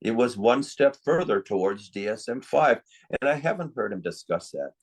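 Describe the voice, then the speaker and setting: A man's voice: mid-range voice, animated, normal speed, slightly rough. Older man, reflective interview guest. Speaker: psychiatrist interviewee. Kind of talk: conversational interview, giving opinions.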